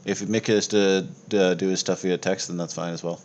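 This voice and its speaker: Low-voiced man running a game, noisy line. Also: flat delivery, normal speed, darkish tone. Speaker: game master. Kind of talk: narrating a tabletop game with casual chat. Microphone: online voice call. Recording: noisy home voice-chat recording.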